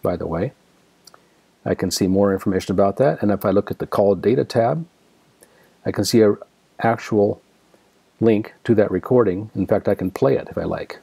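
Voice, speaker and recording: Deep-voiced American man presenting a software tutorial. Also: moderate pace, gravelly, pauses often, slightly rough, no echo. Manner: methodical, explanatory. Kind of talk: step-by-step screen demonstration narration.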